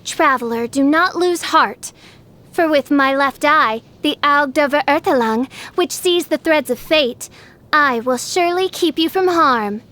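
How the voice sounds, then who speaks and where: A woman voicing a theatrical teenage girl in a video game who styles herself a dark princess, with grandiose, archaic speech. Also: sits high, a little slow. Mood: solemn, dramatic. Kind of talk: scripted video game character acting.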